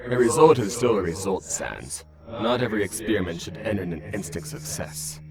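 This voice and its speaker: An actor playing a calm, proud skeleton scientist in a comic dub, speaking in a formal, measured, explanatory manner. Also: male voice, sits low, music in the background. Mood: patient, proud. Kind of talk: fan comic dub voice acting.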